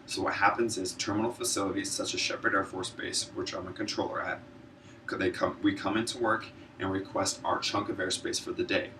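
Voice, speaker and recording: Male speaker, deep voice, informative presentation, earnest, occasional stumbles, distant mic, light background noise. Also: fairly even intonation, a little fast, bright, forceful tone. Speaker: student public speaker, military air traffic controller. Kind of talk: informative speech, prepared presentation. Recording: distant mic, noisy room ambience.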